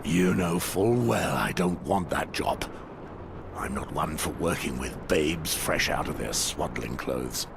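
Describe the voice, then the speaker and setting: An actor playing a taciturn, seasoned warrior of a fantasy watch in a video game, gravelly and dry. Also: male voice, rumbling low, expressive, slow pace, noisy background, full character voice. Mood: wry. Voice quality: gravelly, creaky. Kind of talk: video game cutscene acting.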